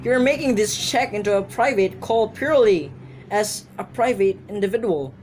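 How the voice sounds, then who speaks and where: A woman's voice: medium pitch, lively intonation, moderate pace, darkish tone, slight background noise, lightly acted. A woman playing an officious chief telephone operator in an amateur radio drama, formal and procedural.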